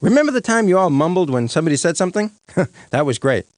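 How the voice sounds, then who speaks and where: Bumbling cartoon attorney, smug and rambling, high voice swooping about, dry recording. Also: male voice, very animated, quick pace, no echo. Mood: silly, smug. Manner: rambling, chuckling, showy. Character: bumbling, excitable cartoon lawyer, silly and smug.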